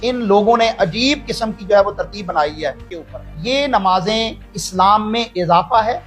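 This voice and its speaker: A man, an Islamic cleric, preaching in a forceful, declamatory sermon style. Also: high voice. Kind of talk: religious sermon.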